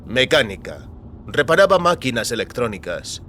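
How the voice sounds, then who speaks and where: A man's voice: medium pitch, very animated, normal speed, fairly bright tone, a little hiss or hum. Man playing a bewildered, defensive detained technician. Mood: guarded. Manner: short, evasive-sounding answers. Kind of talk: audio fiction acting.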